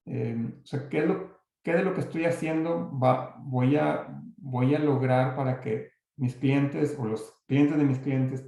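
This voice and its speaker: A man with a Mexican accent answers questions after his talk, pausing and restarting often. His mid-pitched voice is mellow with a gravelly edge. The recording carries a heavy room echo. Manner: hesitant, many restarts and fillers. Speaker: guest speaker.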